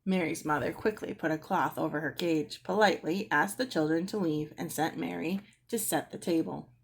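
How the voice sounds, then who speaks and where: A woman reading a children's book aloud as an expressive storyteller. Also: sits low, normal speed, vocal fry, slight background noise. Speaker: storybook reader.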